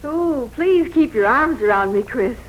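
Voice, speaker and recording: High-voiced, devoted radio wife. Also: female voice, lively intonation, a little slow, highs cut off. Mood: adoring, anxious. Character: devoted, clinging, emotional wife desperate for love. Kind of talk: 1940s radio drama acting. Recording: hissy 1940s radio recording.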